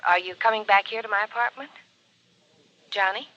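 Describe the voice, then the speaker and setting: A woman's voice: medium pitch, normal speed, sharp tone, no echo, highs cut off, close to an everyday voice. A woman playing a flustered, falsely sweet caller in a 1950s radio drama, hesitant and uneasy. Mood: startled, uneasy. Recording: thin telephone sound on old radio.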